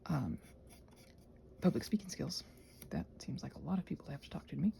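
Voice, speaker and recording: A woman chatting casually in a rambling ASMR style, with a soft breathy voice that often drops into a whisper, questioning herself and thinking out loud. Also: sits low, very animated, brisk, slight background noise. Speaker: ASMR video creator and host.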